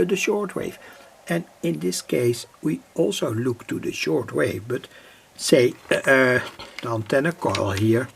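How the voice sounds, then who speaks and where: A man giving an unscripted technical demonstration as a hobby electronics presenter, halting and explanatory, with a husky, slightly gravelly voice. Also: medium pitch, slight background noise. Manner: halting, explanatory, with frequent pauses and repeated phrasing.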